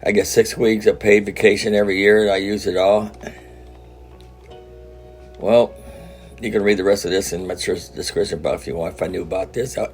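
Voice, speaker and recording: A man with a very deep, gravelly voice hosts his own news channel. He comments casually and rambles, stumbling over words and starting sentences again. Soft music plays behind him. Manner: rambling, stumbles and restarts often. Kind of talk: casual commentary on a read article.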